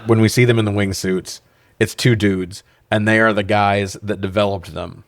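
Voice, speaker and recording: Joking, low-voiced man in a dry recording. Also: fairly even intonation, normal speed, dry recording. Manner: profane, joking, often laughing as he talks. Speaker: podcast co-host. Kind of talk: casual comedic film review chat.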